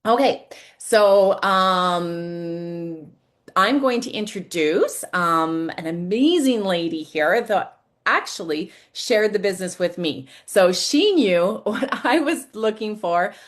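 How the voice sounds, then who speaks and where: A woman's voice: mid-range voice, expressive, slow pace. A woman hosting a business call, casually and enthusiastically introducing the next speaker. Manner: enthusiastic, uses fillers. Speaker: host introducing a guest.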